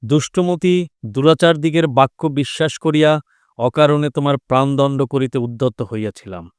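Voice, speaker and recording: A man's voice: medium pitch, moderate pace, smooth, no room sound, clean studio sound, lightly acted. A male actor playing a formal, authoritative caliph in an audio drama. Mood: gracious, pleased. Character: stern, commanding male caliph who can relent graciously. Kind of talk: Bengali audio drama acting.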